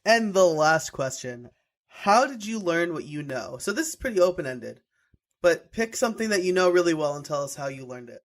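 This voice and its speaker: A man hosting a podcast, with a voice sitting a little high. He keeps it relaxed and upbeat, firing off short "awesome" and "very cool" replies between his questions. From New York. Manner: upbeat and encouraging, quick affirmations. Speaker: podcast host. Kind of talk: casual interview questions.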